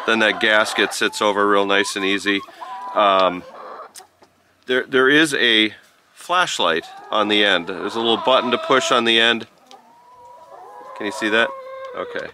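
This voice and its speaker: A man giving a casual, unscripted product review, explaining step by step in a halting, informal way. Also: fairly low pitch. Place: outdoors on a rural farm. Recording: noisy outdoor farm ambience with chickens. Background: clucking chickens, outdoor ambience.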